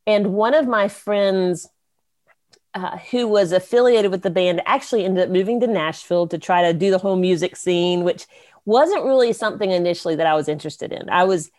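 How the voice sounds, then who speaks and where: A woman from the Carolinas, a songwriter guest telling her story in an interview, bubbly and rambling with laughs. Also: lowish voice.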